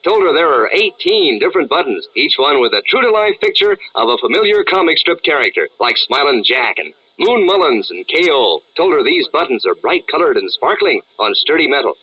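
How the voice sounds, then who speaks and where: A man's voice: fairly high pitch, very animated, brisk, few pauses, breathy edge. An American man as a radio commercial announcer, chatty and folksy in a 1940s ad style. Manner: chatty, salesmanlike. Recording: dull vintage radio sound.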